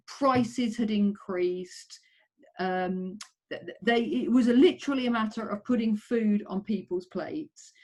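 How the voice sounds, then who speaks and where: A woman's voice: mid-range voice, dark tone, poor audio. A middle-aged woman answering interview questions as a tourism researcher, talking earnestly with frequent ums and self-corrections.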